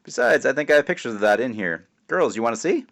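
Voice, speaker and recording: A loud, playful dad from a children's storybook, acted out by a male reader. He proclaims everything with booming, mock-grand theatricality, his voice raised well up in pitch as he teases his son. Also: very animated, fast talker, gravelly. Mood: jovial, insistent. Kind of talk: expressive children's book read-aloud.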